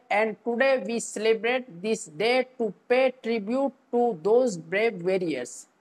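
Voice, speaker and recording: A woman's voice: a little high, a little mellow, low-quality recording. A woman with an Indian accent reciting a prepared school-style speech in a formal, careful way, phrase by phrase. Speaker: speech presenter.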